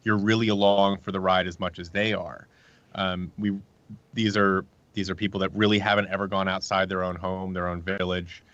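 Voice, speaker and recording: A man talking casually and a little haltingly as an interview guest, pitching an audio drama he helped create. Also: level tone, fairly bright tone, dry recording, highs cut off.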